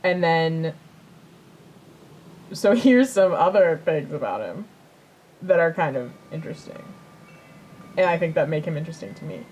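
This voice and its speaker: A woman's voice: sits low, expressive, normal speed, pauses often, a little mellow, slightly rough, low-quality recording. A woman co-hosting a podcast in casual chat, rambling and repeating herself as she tells things. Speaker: podcast co-host.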